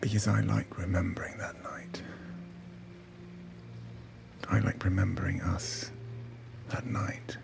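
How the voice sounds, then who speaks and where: A man playing a tender, flirtatious ex-husband in an audio drama, with a gravelly, hesitant, intimate way of talking. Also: low-pitched, little pitch movement, takes it slowly, long pauses, in a whisper, full character voice. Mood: longing, hushed. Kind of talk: naturalistic audio drama acting.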